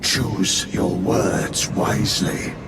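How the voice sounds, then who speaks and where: An actor playing a severe, judgmental warrior chaplain in a video game, speaking in a solemn, formal, admonishing way. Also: male voice, extremely slow, creaky, heavily acted. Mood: stern warning. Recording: roomy, distant, heavy rumbling noise.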